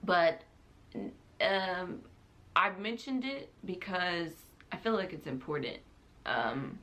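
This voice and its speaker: A woman's voice: lowish voice, lively intonation, takes it slowly, a bit bright. A young adult woman chatting casually to camera as a vlogger, rambling in a giggly, self-deprecating way.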